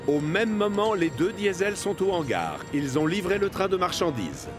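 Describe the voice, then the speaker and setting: A man's voice: high-pitched, sing-song, normal speed, music in the background. A man narrating a children's cartoon in French, telling the story expressively.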